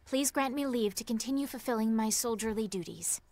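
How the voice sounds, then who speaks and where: A woman's voice: a little high, brisk, a little mellow, dry recording, close to an everyday voice. A woman playing an earnest young princess soldier in a video game, speaking in a formal, stately and resolute manner. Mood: proud, resolute.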